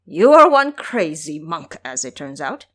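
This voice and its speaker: Woman as a blunt, exasperated, animated woodcutter. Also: sits low, a little slow, voice pushed down, full character voice. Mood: indignant. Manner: scolding, emphatic. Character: blunt, exasperated male woodcutter warning travelers. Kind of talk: audio drama storytelling.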